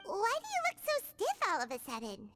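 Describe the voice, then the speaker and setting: A woman voicing a tiny, childlike fairy companion in a video game, squeaky, chatty and blunt, talking in exclamations. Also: wildly swooping pitch, fast, a bit bright, heavily acted. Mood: blunt, awkward. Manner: refers to self in third person, exclaiming. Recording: music behind the voice.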